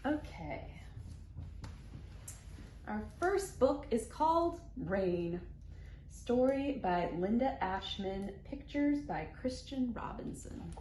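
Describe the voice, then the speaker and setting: A woman's voice: a little high, animated, slow, warm tone. A woman hosting children's storytime, reading a picture book expressively in simple phrases aimed at small children. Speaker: children's library storytime host. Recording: echoey room, background noise.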